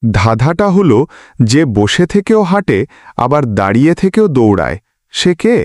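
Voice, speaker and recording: A man's voice: mid-range voice, big pitch swings, normal speed, a little mellow, dry recording, studio quality, natural voice. A man voicing a boastful, menacing djinn who runs a tea stall in a cartoon, in a theatrical, taunting manner. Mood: smug, challenging.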